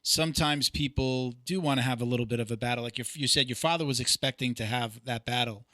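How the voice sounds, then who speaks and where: A man's voice: medium pitch, fast talker, bright, forceful tone, no echo. A man hosting a podcast, chatting earnestly in a casual interview and sharing his own stories. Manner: earnest, chatty, hesitant with fillers. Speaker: podcast host.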